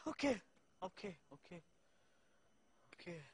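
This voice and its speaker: A man's voice: sits high, very animated, fairly quick. A man playing a polite, hesitant barbershop customer in a comedy sketch, in a noisy, clicky recording. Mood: uneasy, polite.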